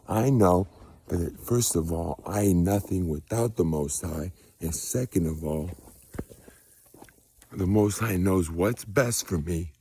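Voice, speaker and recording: An American man with a fairly low, mellow voice and a husky edge. He delivers a casual motivational testimony, emphatic and preachy, circling back on phrases to drive them home. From United States. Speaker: spiritual vlogger.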